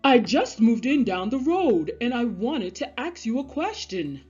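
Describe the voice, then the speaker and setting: A woman's voice: a little high, very animated, measured pace, darkish tone, husky, dull audio, faint music behind, heavily acted. A woman voicing a polite, well-meaning, misunderstood male wolf in a children's storybook. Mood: friendly. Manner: courteous, wistful, exclaiming when baffled.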